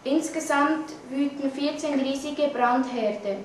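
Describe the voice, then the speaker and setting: A young woman with a Swiss German accent reads scripted news in a halting, stumbling way. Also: sits high. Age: teenager or young adult. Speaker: student news reader. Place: classroom, indoors. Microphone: camera mic at a distance. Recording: echoey room, distant mic, faint noise.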